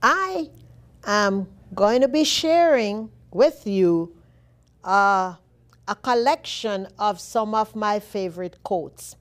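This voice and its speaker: A woman with a Jamaican accent hosting a show, reading favorite quotes in a slow, deliberate way with emphatic repeats. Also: medium pitch. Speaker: host.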